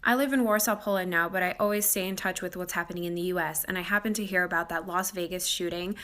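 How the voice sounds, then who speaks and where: Bright earnest woman storyteller. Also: on the low side, fairly even intonation, quick pace. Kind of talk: casual storytelling to camera.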